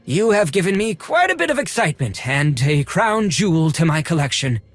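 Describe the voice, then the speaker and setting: A prim, haughty collector from a video game. His voice sits high and moves animatedly up and down, with fussy, formal phrasing. The recording is dry and close. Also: very animated, moderate pace, no echo, soft background music. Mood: haughty, guarded. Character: fussy, haughty male collector and droid restorer.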